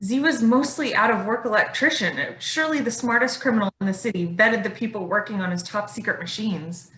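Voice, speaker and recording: A woman's voice: mid-range voice, brisk, a bit bright, natural voice. A woman playing a brash, blunt mother turned kidnapper in a staged play reading. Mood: doubting. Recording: echoey room.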